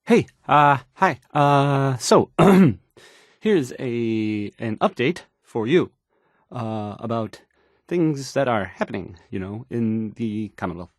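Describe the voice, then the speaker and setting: An actor playing a nervous, bumbling, insecure male radio DJ in a video game, with a halting, stammering, unsure delivery. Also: medium pitch, animated, dry recording, studio quality. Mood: awkward, unsure. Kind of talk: in-character radio news broadcast, video game voice acting.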